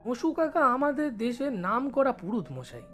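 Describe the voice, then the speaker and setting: A man playing a village schoolboy who studies in the city, telling his story in expressive first-person narration. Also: very high-pitched, moderate pace, faint music behind. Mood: reminiscing. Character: village schoolboy studying in Kolkata, recalling his life.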